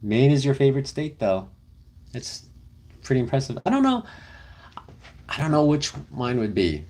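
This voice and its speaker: A man's voice: medium pitch, big pitch swings, moderate pace, darkish tone. A young man casually chatting on a livestream with his partner, reading viewer comments in a rambling, easygoing way. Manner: rambling, easygoing, many fillers. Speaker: livestream host. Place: car camping, indoors with partner.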